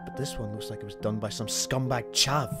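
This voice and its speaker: A man's voice: fairly low pitch, fairly even intonation, a little fast, lightly acted. A man playing a young, hard-nosed, suspicious police detective in an amateur radio play. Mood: brooding, suspicious. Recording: music and rain under the voice.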